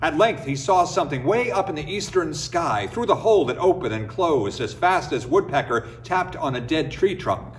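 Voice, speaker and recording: Animated high-pitched male storyteller. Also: moderate pace, few pauses, far from the mic, slight background noise. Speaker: storyteller, reader. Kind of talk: expressive scripted folktale narration.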